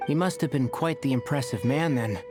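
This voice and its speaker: A man's voice: medium pitch, fairly quick, natural voice. A man voicing a sensible young botanist in a video game, dry and matter-of-fact, with an inquisitive streak. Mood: curious, matter-of-fact. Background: soft game music.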